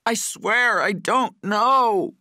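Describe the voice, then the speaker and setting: Man acting out frightened captive, voice pitched up. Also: animated, measured pace, no room sound, studio quality. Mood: screaming in pain. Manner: pleading, frightened. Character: terrified male captive pleading under torture. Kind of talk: audiobook character dialogue.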